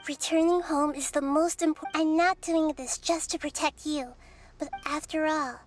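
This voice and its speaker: A woman voicing a thoughtful, kind and resolute little girl deity in a video game, soft-spoken and earnest. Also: high voice, steady tone, normal speed, faint music behind, lightly acted. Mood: gentle, resolute.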